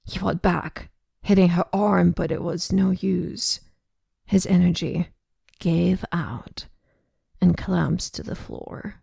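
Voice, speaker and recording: Woman reading story narration, lowish creaky voice, mellow. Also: slow pace, no room sound, studio quality.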